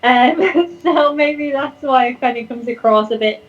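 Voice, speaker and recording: A woman with a somewhat high-pitched voice talks off the cuff as a guest in a casual conversation. She rambles in a chatty way and pokes fun at herself. The recording sounds a little dull and lo-fi. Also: a little slow. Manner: chatty, rambling, self-deprecating humour. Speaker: interview guest, performer and online content creator. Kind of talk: unscripted casual chat.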